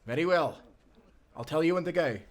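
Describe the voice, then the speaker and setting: A man's voice: on the high side, fairly quick, natural voice. A man playing a hearty, friendly timberman turned sailor who talks in folksy, encouraging old-time seafaring speech in a nautical audio drama. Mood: brisk, encouraging. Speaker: sailor guiding a newcomer.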